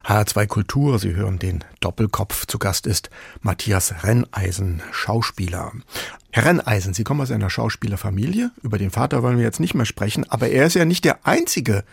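A man's voice: fairly low pitch, expressive, fairly quick, creaky, slightly rough, no room sound. A man hosting a conversational cultural interview on the radio.

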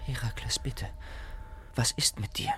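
A horrified messenger from Greek tragedy, urgent and pleading. His mid-pitched voice moves widely as he declaims the narration. Faint music plays under this audio drama. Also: huge pitch swings, a little slow, sharp tone, whispered, full character voice.

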